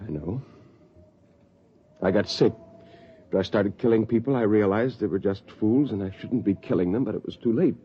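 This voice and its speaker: An actor playing a bitter, haunted rebel astronaut with brooding, defiant intensity in 1950s radio drama style. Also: male voice, on the low side, moderate pace, long pauses, slightly rough. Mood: defiant, intense. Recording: dull, hissy 1950s radio recording.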